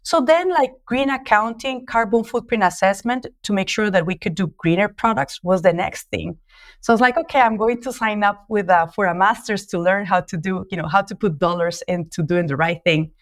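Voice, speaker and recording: Guest, female, colombian spanish accent, lively storyteller, mid pitch, on a call. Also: brisk. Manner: animated storytelling, frequent laughs and restarts. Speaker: guest, social entrepreneur. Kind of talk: remote podcast interview. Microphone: call audio.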